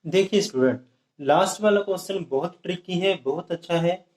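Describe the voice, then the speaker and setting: A man's voice: high voice, brisk, darkish tone. A man teaching an exam-prep math lesson, repeating each step and checking whether students follow. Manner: repeats steps and keeps asking students if it is clear. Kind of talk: whiteboard lesson explaining age problems. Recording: echoey room.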